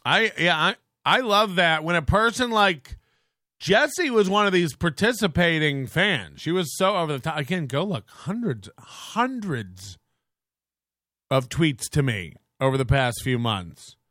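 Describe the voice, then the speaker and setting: A man's voice: on the high side, big pitch swings, a little slow, sharp tone, dry recording, clean studio sound. A man hosting a podcast in casual, rambling chat, crude and joking, with lots of restarts. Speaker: podcast host.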